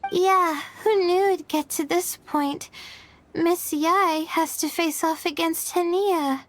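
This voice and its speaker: A woman voicing a gentle, modest, earnest teenage girl in a video game, slightly hesitant and friendly. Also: very high-pitched, steady tone, slow. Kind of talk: animated video game voice acting. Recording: music behind the voice.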